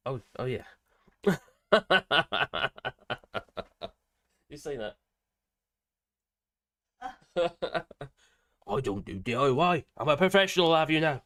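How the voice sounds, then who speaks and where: Older man, hoarse with a cold, rambling live-stream chat, fairly high-pitched. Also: fairly bright tone. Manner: rambling, chatty, with frequent fillers and self-corrections.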